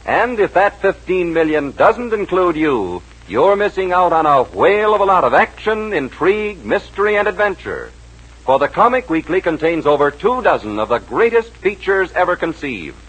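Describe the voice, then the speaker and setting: Male American announcer for vintage radio serial, husky, highish, very animated, emphatic scripted delivery. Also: normal speed, poor audio. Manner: dramatic, emphatic. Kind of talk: 1940s radio announcing, dramatic scripted narration.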